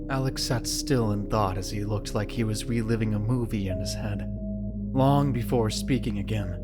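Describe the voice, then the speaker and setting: A man's voice: lowish voice, normal speed. A man giving scripted, dramatic story narration for an audio drama. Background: background music.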